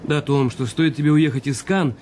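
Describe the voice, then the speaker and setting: Actor playing a bitter, resentful husband. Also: male voice, fairly high pitch, a little fast, a little mellow, a little hiss or hum, lightly acted. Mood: defensive, irritated. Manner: defensive, sardonic, brooding inner monologues. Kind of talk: radio drama acting.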